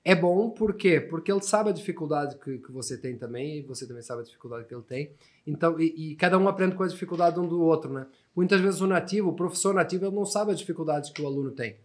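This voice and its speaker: A man's voice: on the high side, expressive, quick pace, a little mellow. A man in his thirties from Portugal with a European Portuguese accent, a podcast guest telling stories in a chatty way with many restarts and tag questions. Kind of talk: casual storytelling conversation.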